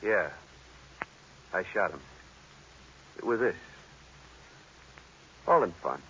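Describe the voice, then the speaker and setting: A blunt, dangerous chauffeur in a 1940s radio drama. He talks in gruff slang with a forceful edge. The vintage recording is muffled, with faint noise. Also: low-pitched, animated, slow, heavily acted. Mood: casual, cool. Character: brash, hard-nosed male chauffeur, coolly violent. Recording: slightly noisy, muffled old radio recording.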